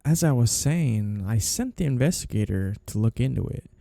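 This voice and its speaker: A man's voice: medium pitch, expressive, moderate pace, gravelly, dark tone, no room sound, studio quality. A man playing a flirtatious, two-faced mafia boss, teasing and insinuating in a noir ASMR roleplay. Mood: sly, measured. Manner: teasing, insinuating, mock-innocent. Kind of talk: ASMR roleplay, noir period-piece monologue to a listener.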